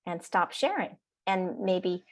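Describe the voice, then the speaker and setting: A woman's voice: on the low side, animated, takes it slowly. A woman, a social worker, leading an online workshop in a rambling, casual way, full of restarts.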